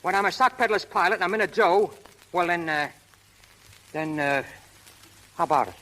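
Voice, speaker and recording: An actor playing a brash, hot-tempered but lovestruck prizefight manager, talking in rough street slang in 1930s radio style. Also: male voice, high voice, normal speed, a bit bright, poor audio. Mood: eager, awkward. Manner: tough, slangy, ungrammatical street talk. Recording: old 1930s radio broadcast recording.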